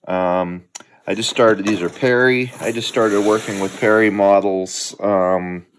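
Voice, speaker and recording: Relaxed, chatty middle-aged man host. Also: on the low side, a little slow, slight background noise. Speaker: hobby channel host. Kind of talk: casual unboxing chat. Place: home.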